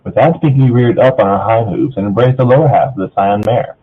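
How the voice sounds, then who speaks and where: A man's voice: lowish voice, fairly quick, husky. A man narrating a fan fiction story aloud, casually breaking off to banter with his co-reader. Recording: thin phone-like voice chat sound.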